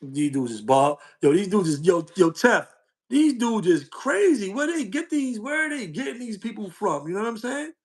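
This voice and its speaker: Street-talking man, higher voice. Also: animated, moderate pace, breathy edge. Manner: street slang, loops back with "you know what I'm saying". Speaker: social media streamer. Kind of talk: casual livestream talk.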